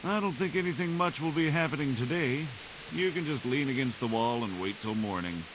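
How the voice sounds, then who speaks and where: Actor as a blunt, grim castle guard, mid-range voice, heavy hiss over game audio. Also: male voice, mid-range voice, lively intonation, a little fast, close to an everyday voice. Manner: blunt, grim, swears at the enemy. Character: worried adult male medieval castle guard. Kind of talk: game character dialogue.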